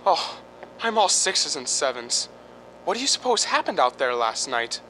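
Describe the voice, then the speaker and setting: An actor playing a nervous, fretful property owner in a radio drama, excitable and urgent. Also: male voice, a little high, moderate pace, slight background noise, low-quality recording. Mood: anxious, fretful. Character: jittery, fretful male property owner, easily agitated.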